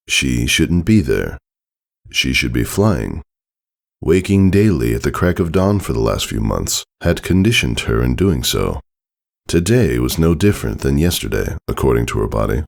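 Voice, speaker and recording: A man narrates fiction in a very low voice with a creaky edge. His delivery is measured and dramatic, moving expressively through the lines over background music. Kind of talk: scripted story narration. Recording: music under the voice.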